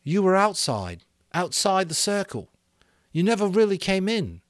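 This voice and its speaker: A man acts out a traumatized boy leader from a storybook, his voice raised in pitch. He sounds anguished and guilty, swinging expressively in a dry, close recording. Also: sing-song, no echo. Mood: anguished, feverish. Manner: stricken, slow, anguished.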